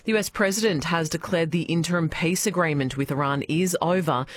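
An Australian woman reading a radio news bulletin in a crisp, measured way. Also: deep voice, dry recording. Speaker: newsreader.

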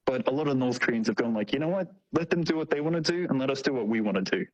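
Male interview guest, mid-pitched and a bit husky, casual, slangy storyteller. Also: animated, rapid-fire, lo-fi sound. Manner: informal, slangy, acts out short quotes. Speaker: interview guest, tour operator. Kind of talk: casual conversational anecdotes and explanation.

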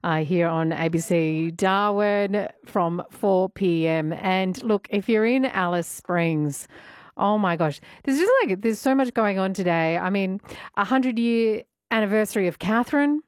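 A woman hosts a radio show with a bubbly, chatty manner. Her voice sits at an ordinary pitch. The sound is close and dry, with no room around it. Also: a little slow, no echo. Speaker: radio presenter. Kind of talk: casual radio chat.

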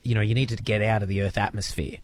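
A man's voice: lowish voice, steady tone, fast, slight background noise. Playful radio host, man. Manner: playful, jokey. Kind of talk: casual radio chat.

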